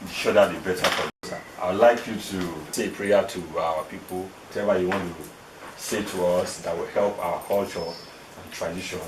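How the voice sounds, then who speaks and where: A man's voice: sits low, fairly even intonation, normal speed. A man interviewing an elder, speaking deferentially and calling him sir. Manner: deferential, says sir, short backchannels. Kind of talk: interview questions. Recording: echoey room from a distant mic, faint noise.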